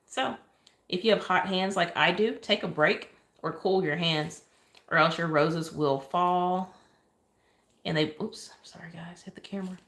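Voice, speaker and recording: A woman from Oklahoma with a Southern accent and a soft, calm voice, chatting casually on a livestream while she decorates a cake and keeps thanking viewers. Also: lowish voice, measured pace. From Oklahoma, USA. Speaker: cake decorator live-streaming. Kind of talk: casual livestream chat while piping icing. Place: indoor kitchen counter.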